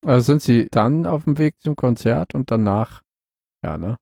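A man's voice: medium pitch, animated, moderate pace, gravelly, dark tone, breathy edge, no room sound. A man with a cold co-hosting a casual series recap, mostly with short interjections. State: has a cold. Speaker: podcast co-host.

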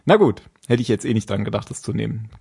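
A man's voice: lowish voice, animated, fast talker, gravelly, mellow, husky. A man casually recapping a TV episode on a podcast, rambling and correcting himself as he goes. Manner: rambling, self-correcting, with frequent fillers and laughs. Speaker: podcast co-host.

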